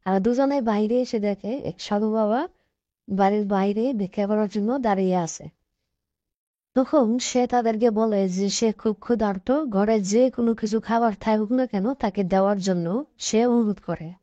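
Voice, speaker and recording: Mellow woman narrating a cartoon story. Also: medium pitch, moderate pace, no room sound. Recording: dull, dry lo-fi track.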